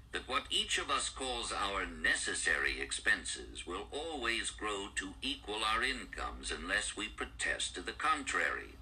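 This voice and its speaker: A man narrating an audiobook in a formal, measured, oratorical style with old-fashioned phrasing. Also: fairly low pitch, bright, forceful tone, low-quality recording. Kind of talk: formal scripted narration of an archaic-styled book.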